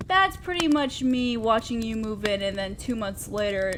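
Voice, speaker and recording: A woman's voice: a little high, moderate pace, a little hiss or hum, close to an everyday voice. A woman playing a shy, awkward, kind young neighbor in an intimate roleplay audio drama, speaking hesitantly and apt to ramble self-consciously. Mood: friendly, curious. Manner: hesitant, soft-spoken, rambling, self-deprecating. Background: outdoor fire ambience.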